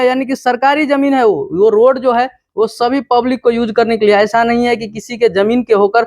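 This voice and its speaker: A man's voice: very high-pitched, dry recording, studio quality. A man with a Bihari accent presenting an informal explainer, teaching step by step with repetition and questions he answers himself. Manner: didactic, repetitive, asks and answers own questions. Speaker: video presenter explaining land law.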